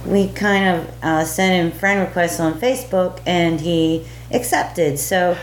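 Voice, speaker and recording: A woman with a lowish voice chats casually as a caller, often hesitating over her words. The room echoes around her, with faint noise and hum behind. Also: measured pace. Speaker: podcast listener calling in. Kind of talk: casual chat.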